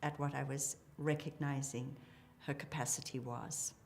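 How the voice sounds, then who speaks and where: A woman's voice: rumbling low, fairly even intonation, moderate pace, creaky. A middle-aged woman speaking as a psychologist in an interview, articulate and enthusiastic. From Zimbabwe. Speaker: psychologist, clinic co-founder. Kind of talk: interview account.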